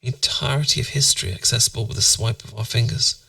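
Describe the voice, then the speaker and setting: A British man playing a tender, playful boyfriend in a bedtime ASMR roleplay, musing softly and intimately to soothe his partner to sleep. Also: medium pitch, fairly even intonation, fast talker, gravelly, no room sound, distant mic. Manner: soft, intimate, soothing, musing, occasionally near whisper.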